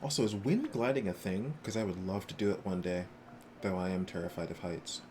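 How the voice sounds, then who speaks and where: A man's voice: deep voice, brisk. A man streaming a video game, reading its text aloud and chatting casually with viewers. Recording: noisy stream with game ambience behind. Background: game sounds.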